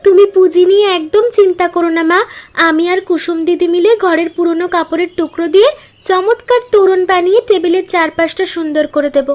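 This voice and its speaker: A woman's voice: very high-pitched, brisk, faint music behind. A woman voicing an eager, optimistic young village daughter in a cartoon, enthusiastic and reassuring. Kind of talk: cartoon story dialogue.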